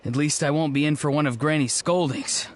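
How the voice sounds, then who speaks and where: A man's voice: on the high side, fairly quick, lightly acted. A man voicing a polite, dutiful young man in a video game. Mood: satisfied, wry.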